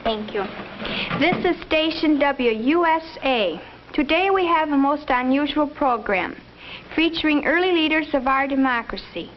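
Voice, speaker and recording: High-voiced 1950s schoolgirl announcer. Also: expressive, measured pace. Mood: formal. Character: formal schoolgirl playing a radio station announcer. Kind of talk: 1950s educational film, mock radio announcement. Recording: muffled 1950s film sound, faint noise.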